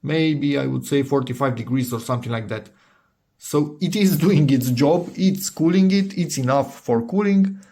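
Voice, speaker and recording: A man's voice: medium pitch, moderate pace, dark tone. A man giving a casual, unscripted product demo, hesitating and thinking aloud as he goes. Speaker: DIY electronics video maker.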